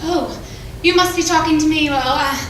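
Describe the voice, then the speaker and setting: Woman playing a high-voiced, shifty 1940s dame. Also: moderate pace, fairly bright tone. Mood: fascinated, evasive. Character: shifty, morbidly fascinated dame at a crime scene. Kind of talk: improvised 1940s radio drama acting. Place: improv theatre stage before an audience. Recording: echoey theatre stage, distant, faint noise.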